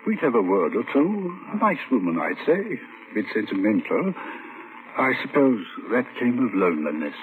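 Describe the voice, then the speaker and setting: A man's voice: lowish voice, very animated, normal speed. An actor playing a reserved, plain-spoken middle-aged male neighbour in a vintage radio drama, cautious and clipped in his answers. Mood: cautious recollection. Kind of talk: 1940s-1950s radio drama acting. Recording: tinny, hissy vintage radio recording.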